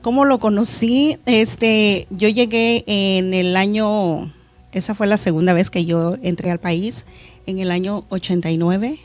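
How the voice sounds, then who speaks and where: A middle-aged woman pastor from Honduras, reflecting earnestly on her faith in a studio interview, pausing with fillers. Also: mid-range voice, steady tone, dry recording, faint music behind. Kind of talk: testimony interview. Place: church media studio.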